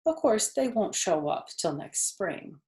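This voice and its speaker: A woman voicing an aging female spider for a children's storybook, weak and quiet, dignified and resigned. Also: medium pitch, big pitch swings, a little slow. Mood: sad, weary.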